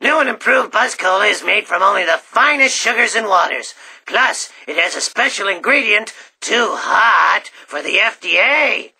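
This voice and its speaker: Raspy, blaring cartoon clown pitchman. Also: lively intonation, moderate pace, pushed and bright, full character voice. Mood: brash hype. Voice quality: raspy, rough. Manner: brash salesman patter. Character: manic showbiz clown pitchman endorsing cola to kids. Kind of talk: cartoon TV commercial parody.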